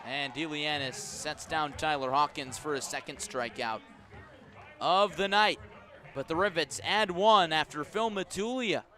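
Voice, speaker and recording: An American man doing live radio baseball commentary, descriptive and clipped, with phrases that quicken and lift on the action. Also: fairly high pitch, bright, forceful tone. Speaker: baseball play-by-play announcer. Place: outdoor baseball stadium at night.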